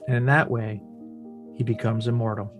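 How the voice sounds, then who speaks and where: A man playing a grown son reflecting solemnly on his father, in a slow, subdued screenplay table read. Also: fairly low pitch, fairly even intonation, soft background music, close to an everyday voice. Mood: wistful, solemn.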